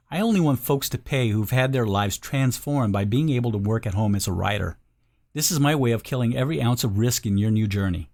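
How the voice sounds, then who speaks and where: A man's voice: medium pitch, darkish tone. A man narrating a scripted sales voice-over, speaking directly and persuasively to the listener. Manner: direct, persuasive second-person address.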